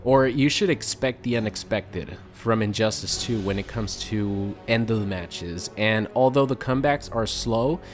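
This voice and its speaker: A young man chatting casually to his viewers about a fighting game, rambling with fillers, his voice slightly raspy from a sore throat. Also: lowish voice, unhurried, hardly any pauses, faint music behind. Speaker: gaming YouTuber. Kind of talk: casual chat to camera.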